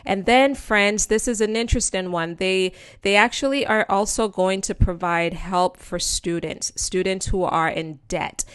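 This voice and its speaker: A woman's voice: mid-range voice, clear, resonant tone, dry recording, clean studio sound. A woman with a Jamaican accent hosting a casual explainer over slides, chatting to viewers as friends with plenty of ums. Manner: chatty and informal, addresses viewers as friends and guys, many ums. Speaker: host of a channel explaining news to viewers.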